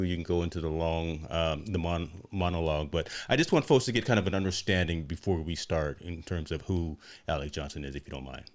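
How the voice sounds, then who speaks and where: A male host running a casual livestream interview over a video call, upbeat, repeating words and tagging on "right?". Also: low voice.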